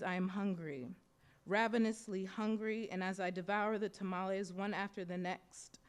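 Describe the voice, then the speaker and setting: A young American woman reading her own personal essay aloud at a bookstore event, in a measured, reflective way. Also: medium pitch, clean tone. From United States. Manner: measured, reflective, softly expressive delivery. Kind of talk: literary reading of personal prose. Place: bookstore event in front of an audience.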